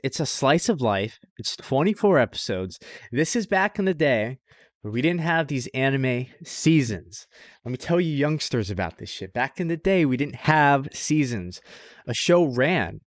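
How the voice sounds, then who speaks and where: A man co-hosts a casual podcast, reviewing shows in an informal, rambling way. His voice sits at an average pitch and the sound is very dry. He talks loosely and drops swear words casually. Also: dry recording. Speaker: anime podcast host.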